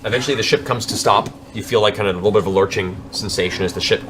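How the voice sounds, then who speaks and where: A man's voice: lowish voice, rapid-fire. A man working as game master, improvising descriptive, dramatic fantasy narration for a tabletop game.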